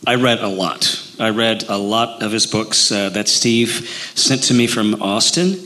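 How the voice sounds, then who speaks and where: A man's voice: on the low side, a little slow, sharp tone, poor audio. A man from Illinois with a Midwestern accent, an actor chatting in an interview, rambling with many fillers and restarts. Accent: Midwestern American. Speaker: actor playing Davy Crockett, interview guest. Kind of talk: casual interview talk.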